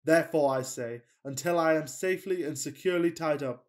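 A man playing a fussy, passionate Victorian gentleman in a farcical radio play, with pompous, declamatory delivery. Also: on the high side, fairly quick, lightly acted. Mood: anxious. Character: melodramatic, fussy Victorian gentleman suitor, self-pitying and passionate.

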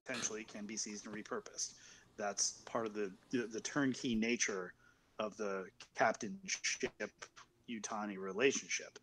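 A man playing a tired, officious spaceship captain in his thirties in an online roleplay, speaking in halting procedural language. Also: on the low side, fairly even intonation, a bit bright, slight background noise, natural voice, low-quality recording. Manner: halting, procedural, bureaucratic delivery. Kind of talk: improvised online tabletop roleplay.

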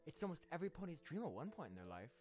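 A woman's voice: sits low, very animated, racing, a little mellow, faint music behind. A woman playing an easygoing young male unicorn in a cartoon audio drama, casual and hesitant. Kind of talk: animated audio drama acting.

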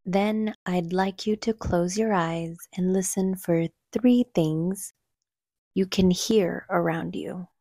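A woman leading a guided meditation in a soothing, gentle, unhurried manner, with soft breathy phrase endings. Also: on the low side, dry recording, studio quality. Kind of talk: calm guided meditation narration.

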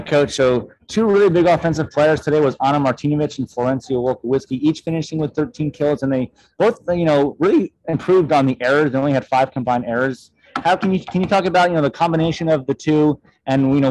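Quick, higher-voiced male reporter. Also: darkish tone, no room sound, low-quality recording. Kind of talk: press conference question.

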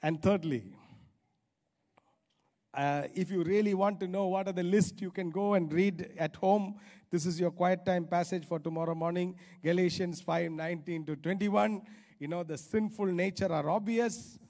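A middle-aged male preacher with an Indian accent delivers a sermon in a high voice. He is emphatic and repeats phrases to drive them home. His handheld microphone carries through a large, reverberant auditorium. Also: fairly even intonation, moderate pace, a little mellow. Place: large church auditorium stage. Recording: reverberant hall sound.

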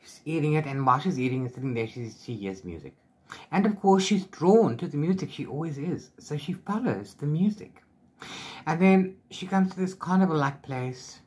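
A middle-aged man chatting casually as he recaps a book, rambling along in an animated retelling. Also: a little high, normal speed. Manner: rambling, animated retelling with filler sing-song syllables. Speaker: book reviewer vlogger. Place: small book-lined room at home.

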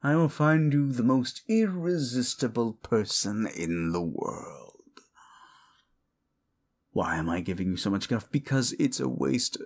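A man's voice: medium pitch, lively intonation, unhurried, gravelly. A man playing a sardonic, hungry demon in an audio roleplay, talking to the listener in a condescending, theatrical, mocking way with muttered asides. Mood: grudging, resigned.

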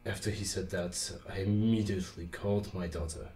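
A man's voice: sits low, steady tone, a little fast, a little mellow. A man playing a grave older grandfather, a doctor recounting disaster in an audio drama monologue. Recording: echoey, slightly distant, faint music.

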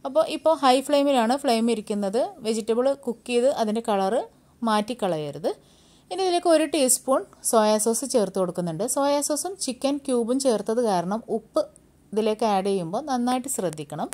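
A woman hosting a home cooking channel, giving brisk step-by-step recipe instructions in a voice-over. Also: fairly high pitch, lively intonation, warm tone. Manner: brisk, step-by-step instructing, Malayalam mixed with English words.

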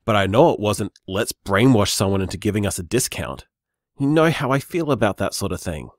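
A man's voice: deep voice, no echo, clean studio sound. An actor playing a conscientious, anxious, loving man leaving hesitant, apologetic voicemails in an intimate audio drama. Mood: flustered, apologetic. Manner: hesitant, earnest, sighing.

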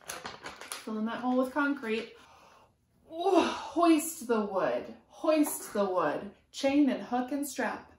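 A woman's voice: fairly high pitch, animated, slow. A woman in her thirties, a preschool teacher reading aloud expressively to young children, with rhythmic, emphatic delivery. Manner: rhythmic, sing-song, emphatic on sound words. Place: small room at home, indoors. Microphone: camera a few feet away. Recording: roomy echo from camera distance.

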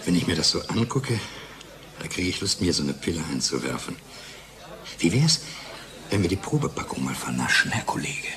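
An actor playing a giddy pharmaceutical colleague in a radio play, speaking in a declamatory, ironic way. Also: male voice, deep voice, very animated, moderate pace, pauses often, a crowd in the background. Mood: conspiratorial. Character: giddy male pharmaceutical colleague, high on his own pills.

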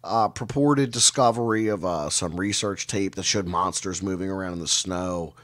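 A middle-aged man telling stories as an interview guest on a video call, measured and given to dramatic pauses. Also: deep voice, a bit bright. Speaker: guest storyteller and researcher of mysteries. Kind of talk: conversational storytelling interview. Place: indoor room, video call.

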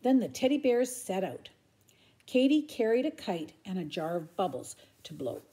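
A woman's voice: mid-range voice, sing-song, normal speed, mellow. An older woman from Canada reading a children's storybook expressively at home. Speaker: storybook reader. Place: room at home.

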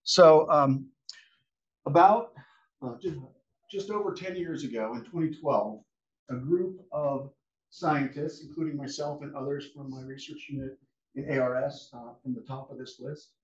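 A man gives a relaxed academic seminar, pausing often with fillers and restarting his sentences. He sounds set back from the mic in a roomy lecture hall. The recording is a little muffled. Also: mid-range voice. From United States. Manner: casual, halting, many fillers and restarts. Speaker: guest speaker, rangeland scientist. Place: lecture room. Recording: echoey room audio from a distance, dull.

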